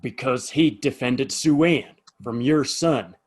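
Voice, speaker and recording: A man's voice: mid-range voice, takes it slowly, a little mellow, breathy edge. A man playing a decent, apologetic saloon bartender in an Old West audio drama, speaking earnestly with small stammers. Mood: resigned, pleading. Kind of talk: Western audio drama acting.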